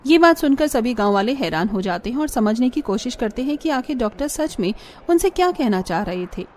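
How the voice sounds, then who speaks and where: A woman's voice: fairly high pitch, sing-song, a little fast, darkish tone, a little hiss or hum. A woman giving scripted narration for a cartoon story.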